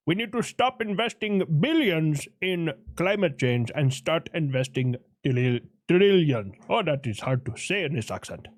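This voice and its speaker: A man's voice: a little high, sing-song, measured pace, hardly any pauses, no echo, clean studio sound. A man voicing India as a nation in a put-on Indian accent, making earnest, stumbling speeches.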